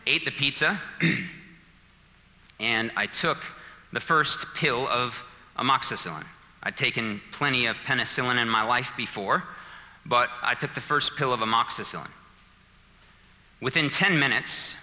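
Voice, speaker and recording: A man's voice: medium pitch, bright, forceful tone, long reverb tail, poor audio. A man in his thirties preaching a sermon, telling a personal story and building to fervent, emphatic appeals. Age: 30s. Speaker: pastor.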